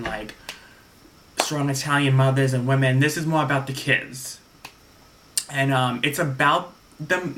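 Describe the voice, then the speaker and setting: A man's voice: mid-range voice, pushed and bright, slight background noise. A young man from Staten Island, telling a rambling casual story to camera and restarting his sentences often. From Staten Island, New York. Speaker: vlogger.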